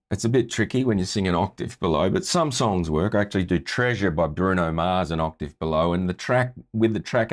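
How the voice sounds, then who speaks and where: A man with a low voice talking casually as a music tutor, walking through a screen-share tutorial and often checking in with "right?".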